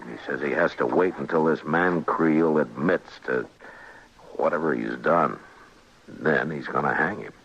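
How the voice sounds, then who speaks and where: An actor playing a gruff, weary, wary frontier marshal in a 1950s radio western. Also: male voice, rumbling low, measured pace, a bit bright, full character voice. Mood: brooding, wary. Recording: dull, noisy old radio recording.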